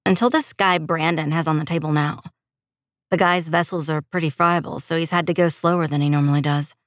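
An easygoing female nurse friend, acted by a woman narrator in an audiobook. She is helpful and chatty, with a slightly low voice in a dry, close recording. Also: steady tone, fairly quick, no room sound, lightly acted.

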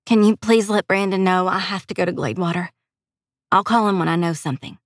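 A young female nurse from an audiobook, played by a woman narrator, caring and steely under tiredness. Her pitch lifts above a low narrating register, and the sound is dry and close. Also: a little fast, no echo. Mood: urgent, resolute.

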